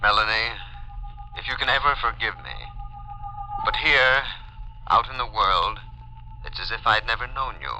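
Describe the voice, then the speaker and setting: An actor playing an ardent, restless young suitor, earnest and pleading, in 1940s radio drama style. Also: male voice, fairly low pitch, moderate pace, pauses often, bright, forceful tone, a little hiss or hum. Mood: regretful. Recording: dull vintage radio recording with faint music.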